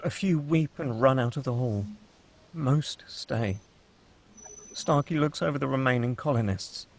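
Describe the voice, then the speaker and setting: A man's voice: mid-range voice, unhurried. A man narrating the stage directions at a script table read. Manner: steady, matter-of-fact reading.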